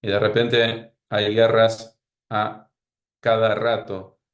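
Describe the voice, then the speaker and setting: Male psychologist guest with a Rioplatense accent, lowish voice, wry and anecdotal. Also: completely flat delivery, slow. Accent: Rioplatense Spanish. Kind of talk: podcast interview.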